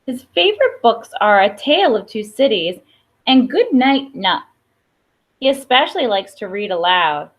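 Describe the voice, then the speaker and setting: Lively high-voiced woman reading a storybook. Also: normal speed. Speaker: storybook reader. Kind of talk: picture-book read-aloud with brief asides. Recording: dull, lo-fi sound.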